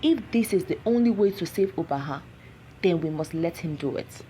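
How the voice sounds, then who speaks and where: A woman voicing a steady, compassionate village man in a folktale, earnest and firm. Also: fairly low pitch, normal speed, a little hiss or hum, voice pushed down, natural voice. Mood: earnest, resolute.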